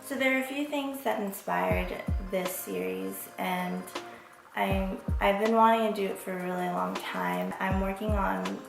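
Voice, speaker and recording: A woman's voice: medium pitch, a little slow, gravelly. A woman in her twenties from Las Vegas, hosting her own vlog in a candid, reflective monologue with casual swearing. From Las Vegas, USA. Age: 20s. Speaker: vlog host. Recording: echoey room with background music.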